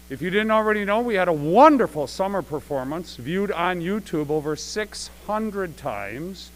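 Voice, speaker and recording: A man's voice: a little high, rough recording. A man speaking as a theater director, giving a conversational, rambling live welcome speech to an audience with emphatic repetition. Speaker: theater director and producer introducing a show. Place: school gym stage, live-streamed. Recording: live gym event with faint hum.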